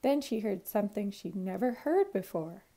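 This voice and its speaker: A woman, a teacher reading a children's storybook aloud in an expressive, clearly phrased way. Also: mid-range voice, normal speed. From Canada.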